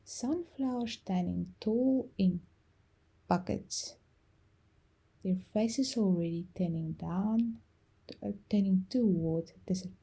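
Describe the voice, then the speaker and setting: A woman storyteller narrating a bedtime meditation story in a soft, gentle, calming and unhurried way, with pauses. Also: medium pitch, vocal fry, breathy edge. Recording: music behind the voice.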